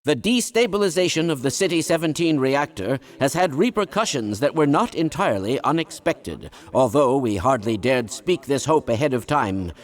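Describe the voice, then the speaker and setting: A man's voice: medium pitch, animated, moderate pace, hardly any pauses, close to an everyday voice. An actor playing an eccentric, fussy older male scientist in a video game, absent-minded yet kindly, speaking in wordy, formal, pedantic phrases. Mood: earnest, lecturing. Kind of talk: scripted video game dialogue.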